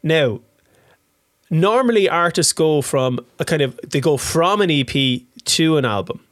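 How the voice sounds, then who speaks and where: A man's voice: a little high, animated, a little slow, a bit bright, dry recording, clean studio sound. A man hosting a casual interview, a bit chesty from a tickle in his throat, chatty and tripping over repeated words. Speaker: radio interview host.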